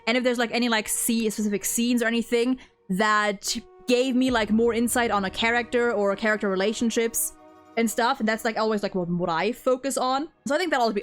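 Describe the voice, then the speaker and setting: A woman hosting a casual, unscripted opinion chat about games, rambling and thinking aloud in halting phrases. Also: on the high side, fairly bright tone. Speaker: host of a video game ranking video.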